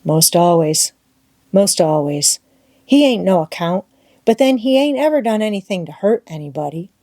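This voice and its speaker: A woman's voice: lowish voice, expressive, normal speed, dark tone, dry recording. A woman voicing a plain-spoken, kindly vagabond boy in an audiobook, with emphatic, drawling rustic dialect. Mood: rueful, kindly.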